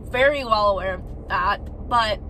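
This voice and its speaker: A woman's voice: mid-range voice, measured pace, bright, forceful tone, slight background noise. A woman in her twenties chatting casually and self-consciously through a vlog tour, with plenty of fillers. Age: 20s. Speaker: vlogger. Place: car, then empty house with bare rooms. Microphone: camera mic, from across the room. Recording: echoey empty rooms, distant mic, background music.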